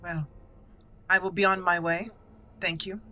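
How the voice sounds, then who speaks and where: A woman roleplaying a proud, blunt elf mage noble in a fantasy game, speaking formally and deliberately. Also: lowish voice, flat delivery, sharp tone. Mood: curious, formal. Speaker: host of a gaming channel. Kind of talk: improvised in-character game roleplay narration.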